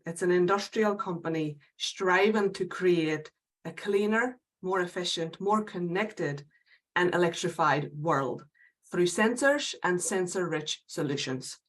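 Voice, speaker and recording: Woman late 30s, slovak hungarian northern irish accent mix, calm measured guest on a webinar, lowish voice. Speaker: diversity specialist, panel guest. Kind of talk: online panel interview.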